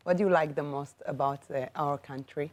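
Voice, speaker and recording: A woman host with a voice pitched very low for a woman asks her guest short, affirming questions. She takes part in a relaxed interview conversation. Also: quick pace.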